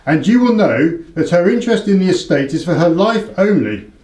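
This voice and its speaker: A roomy echo carries a haughty British aristocrat. His voice is pitched high and moves energetically. He speaks formally and emphatically, in radio play style. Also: very animated, normal speed, darkish tone. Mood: pleading, defensive. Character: haughty, indebted aristocratic male racehorse owner.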